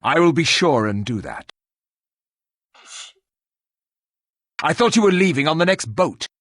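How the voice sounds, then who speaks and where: A man voicing a gruff, sardonic warrior hero in a video game, speaking in a formal, deadpan, husky way. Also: fairly high pitch, fairly quick, dry recording, studio quality.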